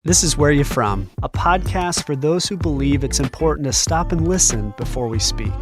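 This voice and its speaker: Higher-voiced male announcer over music. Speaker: show announcer. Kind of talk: scripted show opener.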